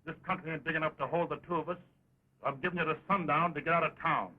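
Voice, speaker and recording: A man plays a hostile American cowboy villain with a fairly high male voice, all bluster and frontier slang, itching for a showdown. The delivery is early western talkie acting, heard through a thin, tinny old film soundtrack. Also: steady tone, fast talker, lightly acted. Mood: menacing. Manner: folksy cowboy slang, confrontational. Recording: tinny, band-limited 1920s film soundtrack.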